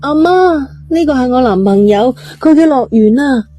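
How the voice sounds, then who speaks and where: A woman's voice: high-pitched, animated, moderate pace, mellow, faint music behind, voice pushed up. A woman voicing a defiant, desperate eighteen-year-old girl in an urgent, pleading, emotional way for an audio drama. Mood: provocative, sweet.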